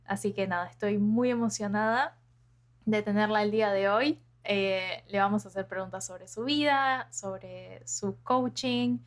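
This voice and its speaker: A woman with an average-pitched voice hosts an interview podcast. Her delivery is conversational, effusive and enthusiastic. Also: fairly even intonation, measured pace.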